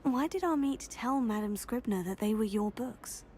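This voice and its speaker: A woman voicing a helpful young student witch in a video game, with a measured, polite manner. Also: fairly high pitch, expressive, mellow, slight background noise, close to an everyday voice. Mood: calm, curious.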